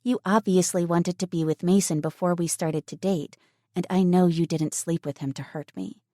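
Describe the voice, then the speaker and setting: A woman voicing a kind, level-headed young woman who talks in an earnest, gentle way. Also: fairly low pitch, fairly even intonation, normal speed, no echo, studio quality, close to an everyday voice.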